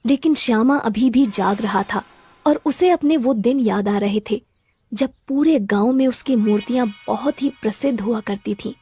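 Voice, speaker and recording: A woman narrates a scripted children's tale in a very high-pitched voice. The sound is somewhat husky, with faint music behind her. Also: dark tone.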